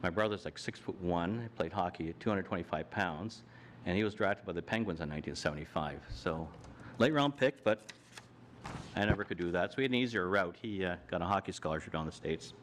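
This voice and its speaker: A man's voice: low-pitched, lively intonation, a little fast, fairly bright tone, slight background noise. An older man giving a plainspoken personal talk on a panel, walking through his slides with frequent restarts. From Canada. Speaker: panel speaker. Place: hall with audience.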